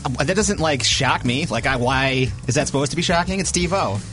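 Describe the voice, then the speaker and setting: A man's voice: medium pitch, animated, fairly quick, fairly bright tone, soft background music. Giggly man on morning radio. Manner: giggly, playful, self-mocking. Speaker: radio co-host. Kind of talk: casual morning radio banter.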